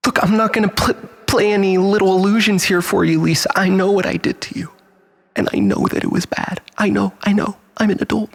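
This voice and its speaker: A man's voice: sits high, fairly even intonation, brisk, creaky, big-room sound. A man playing a desperate, manipulative, self-pitying lover in a dramatic monologue, stammering and repeating himself as he swings between pleading and bitterness. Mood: bitter, accusing.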